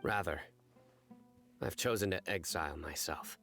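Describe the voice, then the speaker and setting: Casting: grave male general, very low voice, formal and measured, anime game, faint music behind. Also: vocal fry, sharp tone, heavily acted. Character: stern, solemn male desert general and judge.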